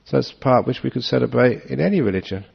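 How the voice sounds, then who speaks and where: A man giving a relaxed Dharma talk to an audience, joking and chuckling as he answers questions. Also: mid-range voice, fast talker, gravelly, heavy background noise. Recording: dull lo-fi talk recording.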